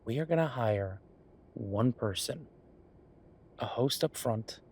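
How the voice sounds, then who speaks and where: Audio roleplay chef, played by a man: male, easygoing, conversational and musing, medium pitch with a husky edge. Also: animated, slow pace, a little mellow, exaggerated voice. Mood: earnest, casual. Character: easygoing male chef planning restaurant business with his partner. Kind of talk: one-sided roleplay acting, casual chat.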